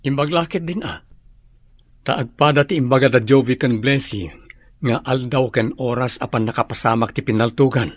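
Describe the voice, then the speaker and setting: An actor with a Filipino accent playing a courteous, well-off gentleman in a radio drama, speaking with assurance. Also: mid-range voice, moderate pace, dry recording, lightly acted. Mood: satisfied, assured.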